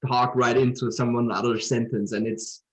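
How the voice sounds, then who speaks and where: A man's voice: medium pitch, level tone, moderate pace. A man in the audience making a remark, his voice picked up from some distance.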